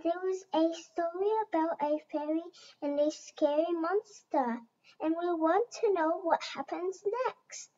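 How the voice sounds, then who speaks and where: Woman playing eager little cartoon-animal girl, very high pitch, childlike storybook delivery, slow, muffled lo-fi sound. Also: darkish tone. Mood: apologetic, eager. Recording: dull lo-fi home recording.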